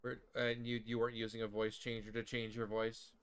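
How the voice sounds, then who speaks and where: A man's voice: mid-range voice, monotone, fairly quick, clear, resonant tone. A man improvising police role-play as a detective, polite and somewhat hesitant in how he talks. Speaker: streamer playing a police detective.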